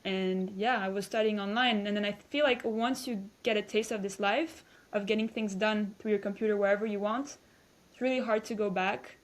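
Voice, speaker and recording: A woman's voice: mid-range voice, fairly even intonation, normal speed. A woman in her twenties from Belgium, a guest chatting casually with hesitant restarts and fillers. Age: 20s. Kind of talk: casual interview chat.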